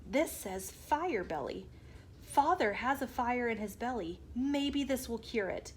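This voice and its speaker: A woman reads as an earnest young son in a children's storybook. His voice is fairly high and exclaiming, with unhurried words and an anxious edge. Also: animated, a little hiss or hum. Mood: worried, pondering.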